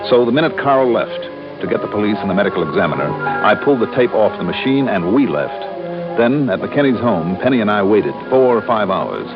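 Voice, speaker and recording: Low-voiced hard-boiled radio investigator. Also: male voice, big pitch swings, fairly quick. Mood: measured narration. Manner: terse, commanding. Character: hard-boiled, businesslike male insurance investigator. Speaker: lead actor and narrator. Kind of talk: old-time radio detective acting and narration. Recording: dull old radio recording with music.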